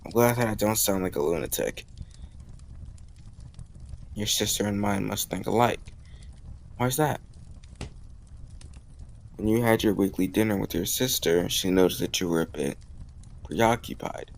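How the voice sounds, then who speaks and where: A man acting as a smitten college guy with a low voice and plenty of gravelly fry. He talks in a teasing, confident, intimate way, as in a romantic audio roleplay. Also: a little slow, long pauses. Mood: playful, teasing. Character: bold, teasing young male college student, smitten romantic.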